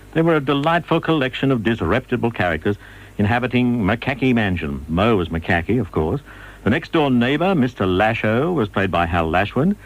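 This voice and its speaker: A man's voice: lowish voice, poor audio. An Australian man presenting a radio documentary in scripted narration.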